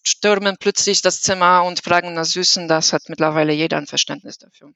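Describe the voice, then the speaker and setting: A woman's voice: on the low side, fairly even intonation, a little fast, gravelly, sharp tone, no room sound. Polish-accented woman, hesitant, muffled sound. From Poland. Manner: hesitant, many fillers and restarts. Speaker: employee guest. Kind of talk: podcast conversation. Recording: dull lo-fi sound.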